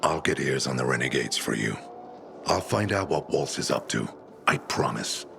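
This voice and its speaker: An actor playing a weary older veteran leader of survivors in a video game cutscene, grave and reflective, with a rough, gravelly voice. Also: male voice, very deep voice, animated, moderate pace, noisy background, heavily acted. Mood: resolute, reassuring.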